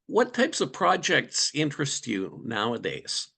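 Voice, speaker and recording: A man runs the interview with a gravelly, creaky edge to his bright voice. He reads a prepared introduction and then asks friendly questions, with the odd um. His pitch sits in the middle. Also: wildly swooping pitch, measured pace. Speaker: interviewer, editor. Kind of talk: interview hosting.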